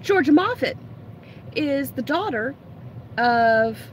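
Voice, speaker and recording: A woman's voice: high-pitched, animated, slow pace. A woman co-hosting a casual geek news vlog in a playful, storytelling way while riding in a car. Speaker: vlog co-host. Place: inside a moving car. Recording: loud car and road noise.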